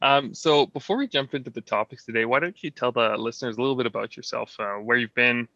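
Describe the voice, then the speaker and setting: An upbeat male podcast host with a bright, forceful tone. His voice sounds very dry and close, with a slightly dull, lo-fi quality. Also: mid-range voice, quick pace, dry recording. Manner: upbeat, enthusiastic host patter. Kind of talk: podcast interview and read ads.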